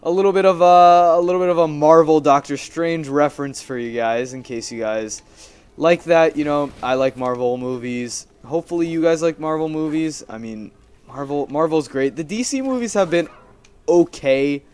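A man's voice: on the high side, moderate pace, a little mellow, clear, resonant tone. A young man giving casual, rambling gameplay commentary, chatty and playfully repeating his phrases. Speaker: gaming video host.

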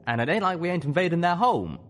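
A man voicing an earnest male pegasus pony soldier in a storybook, with forceful, folksy speech. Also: fairly high pitch, sing-song, quick pace, a little hiss or hum, voice pushed up.

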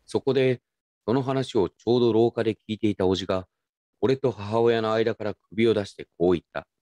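A man's voice: lowish voice, a little fast, mellow, dry recording. A man giving scripted first-person narration for a radio drama.

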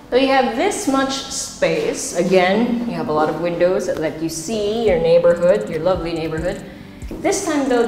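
Low-voiced Filipino woman in echoey rooms. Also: a little mellow. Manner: chatty, unscripted, switches into Tagalog asides. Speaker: house tour host. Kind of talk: casual guided property tour, presenting while walking. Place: empty rooms and stairwell indoors. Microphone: wireless body mic, pack at waist. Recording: echoey bare rooms, music underneath. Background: music.

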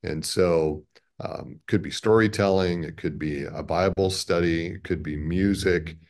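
Deep, gravelly middle-aged man. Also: a little slow. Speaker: radio station president, interview guest. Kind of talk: conversational interview answers. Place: small indoor office, on a video call.

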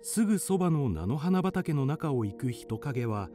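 A man narrates a storybook tale for radio drama. His medium-pitched voice moves with lively intonation, and music runs clearly underneath. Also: a little slow.

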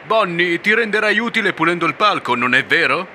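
A man's voice: high voice, lively intonation, a little fast, full character voice. A man voicing a bossy male bear animatronic who leads the show and gives orders, in a noisy recording. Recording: loud background noise.

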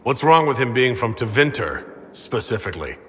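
An actor playing an earnest, steadfast leader in a fantasy game, speaking in a calm, measured way. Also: male voice, mid-range voice. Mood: firm, challenging. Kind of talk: video game cutscene dialogue. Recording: long reverb, music behind.

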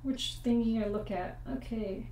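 A young woman hosting a casual board game playthrough at home, chatty and explanatory, often pausing to check the rules. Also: a little high, a little mellow, a little hiss or hum. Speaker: board game channel host. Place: home room at a table. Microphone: desk USB mic with room echo. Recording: echoey room, mic set far off.

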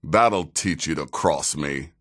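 An actor playing a seasoned, sardonic assassin in a video game, speaking in a measured, dry way with wry menace. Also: male voice, very deep voice, very noisy. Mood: threatening. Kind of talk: video game character dialogue.

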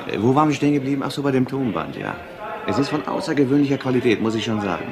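An actor playing a dogged, old-fashioned police detective in a crime radio drama, dry, blunt and sarcastic. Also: male voice, mid-range voice, very animated, very fast, crowd noise. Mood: dryly sarcastic. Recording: clear background vehicle and street noise.

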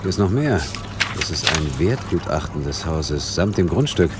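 A man's voice: sits low, sing-song, moderate pace, very noisy. A man playing a laconic police detective with a dry, collegial manner in a German crime audio drama. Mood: matter-of-fact. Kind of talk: naturalistic German radio drama dialogue.

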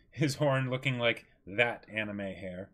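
A man's voice: lowish voice, takes it slowly, pushed and bright. A man in his twenties co-hosting a read-along in a casual, reactive chatting style. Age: 20s. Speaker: co-host of a live read-along. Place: indoor room against a backdrop.

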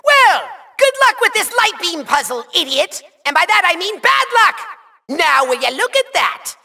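A man voicing a petty, boastful, tantrum-prone villain overlord in a video game, theatrical, taunting and whiny, with a shrill nasal voice. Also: very animated, measured pace, creaky, pushed and bright, full character voice. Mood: scornful, gloating. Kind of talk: over-the-top video game villain acting.

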